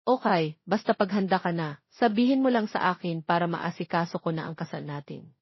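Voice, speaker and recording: A woman's voice: on the low side, brisk, creaky, slightly rough, dry recording, highs cut off. Woman voicing a devoted young Filipino boyfriend, dry sound. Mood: resigned. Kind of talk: audio drama storytelling.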